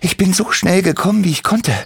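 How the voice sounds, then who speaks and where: A man voicing a small, kind male kobold healer in a children's story, eager and gently questioning. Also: a little high, very animated, normal speed, creaky, breathy edge, pitched above the performer's own voice, heavily acted. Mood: caring.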